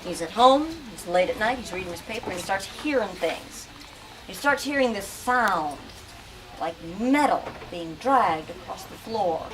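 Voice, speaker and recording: A woman leading a lively book talk in a bookstore, asking questions and switching into dramatic readings. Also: medium pitch, moderate pace. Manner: animated, asks questions, shifts into dramatic reading. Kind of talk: dramatic reading and discussion with children. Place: bookstore event space. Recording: distant mic, noisy room.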